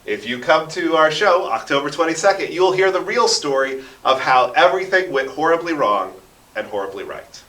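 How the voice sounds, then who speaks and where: A man's voice: on the high side, lively intonation, normal speed, pushed and bright. An American man telling stories conversationally as an interview guest, with dramatic flourishes. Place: echoey radio studio. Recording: roomy echo, distant from microphone.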